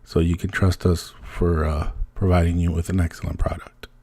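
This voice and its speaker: A man's voice: deep voice, little pitch movement, moderate pace, warm tone. A man presenting a promotional product tutorial in a plain, informal salesman's manner. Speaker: business owner presenting products. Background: music.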